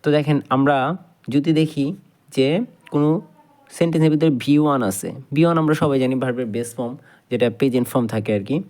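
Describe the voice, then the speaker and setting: A man with a Bangladeshi accent speaks as a teacher in a mid-pitched voice. He explains informally, point by point, going back over key ideas and rewording them. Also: fairly even intonation, fast talker, long pauses, darkish tone. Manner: explains step by step, repeating and rephrasing key points. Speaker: English grammar teacher. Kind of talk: informal explanatory lesson to camera.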